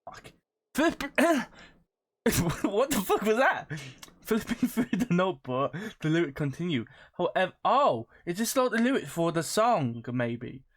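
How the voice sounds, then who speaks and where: Expressive woman streamer reading game text. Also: lowish voice, unhurried. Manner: expressive, sweary, playful. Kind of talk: let's play, reading game text aloud with casual commentary.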